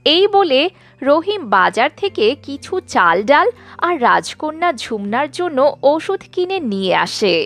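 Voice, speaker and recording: A woman's voice: high-pitched, big pitch swings, a little slow, a bit bright, soft background music. A woman narrating a scripted children's story as the narrator.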